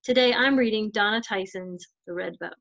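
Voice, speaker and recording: A woman's voice: medium pitch, moderate pace, creaky, a bit bright, no room sound, lo-fi sound. A woman narrating a children's storybook as a volunteer storytime reader.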